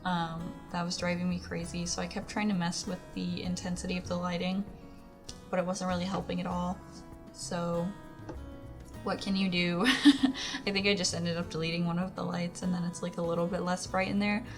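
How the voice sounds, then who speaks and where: A hoarse young woman giving a chatty, rambling voice-over while she talks through her build. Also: lowish voice, level tone, normal speed. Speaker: Sims speed-build YouTuber. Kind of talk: casual voice-over commentary. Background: background music.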